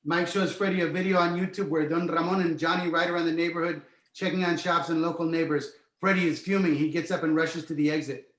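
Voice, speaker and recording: Higher-pitched male narrator, echoey room. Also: steady tone, fast, few pauses, a bit bright. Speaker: narrator reading stage directions. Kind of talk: scripted narration, screenplay table read.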